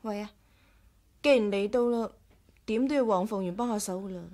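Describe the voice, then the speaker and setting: An actress with a Hong Kong Cantonese accent playing a quick-witted, caring, direct woman in a 1980s radio drama. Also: medium pitch, darkish tone, natural voice.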